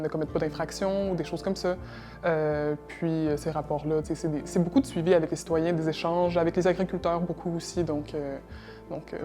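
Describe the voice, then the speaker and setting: Low-voiced young woman, hesitant answers. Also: warm tone. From Russia. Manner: hesitant, many fillers and repeated words. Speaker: interview guest, environmental technician. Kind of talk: casual interview answers.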